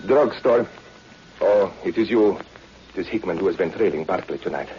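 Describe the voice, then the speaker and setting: A man's voice: sits low, moderate pace, mellow, lightly acted. An actor playing a cold, commanding male spy boss in an old radio drama, with a stern, formal manner. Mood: irritated, commanding. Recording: dull, noisy vintage radio recording.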